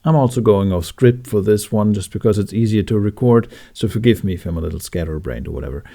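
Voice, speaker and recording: A man narrating a how-to tutorial, explaining things step by step with deliberate phrasing and pauses. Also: on the low side, vocal fry, mellow. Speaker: tutorial host. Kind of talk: instructional narration for a how-to video.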